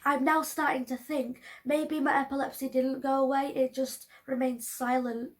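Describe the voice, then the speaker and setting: High-voiced hoarse young woman. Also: flat delivery, normal speed. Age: early 20s. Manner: chatty, rambling with many ums and restarts. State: hoarse from allergies. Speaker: vlogger. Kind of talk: casual talk to camera.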